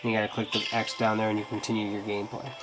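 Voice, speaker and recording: A man's voice: on the low side, completely flat delivery, fast, a bit bright, soft background music, low-quality recording. A man with a stuffed-up, nasal voice from a cold gives a casual, meandering review commentary on a mobile game. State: congested, getting over a cold. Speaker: app reviewer, host. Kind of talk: casual review commentary over a gameplay demo.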